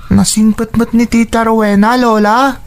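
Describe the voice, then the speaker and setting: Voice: medium pitch, flat delivery, moderate pace, natural voice. An actor playing a sweet, trusting young boy in an Ilocano radio drama. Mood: earnest, pleading. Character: sweet, trusting young boy defending his father's girlfriend.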